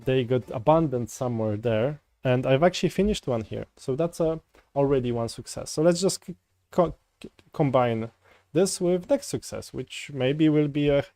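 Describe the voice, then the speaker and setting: A man's voice: medium pitch, expressive, normal speed, no echo. A man from Poland with a Polish accent, chatting casually and rambling with viewers while he codes on a livestream. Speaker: coding livestreamer. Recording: faint music in background. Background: soft folk music.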